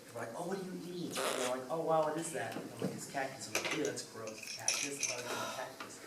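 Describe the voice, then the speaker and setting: A man's voice: medium pitch, quick pace. A man from Eugene, Oregon, asking a long, rambling question from the floor at a conference panel. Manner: rambling, animated, repeats himself. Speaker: audience member asking a question. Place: conference hall. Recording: echoey hall, distant mic, loud noise.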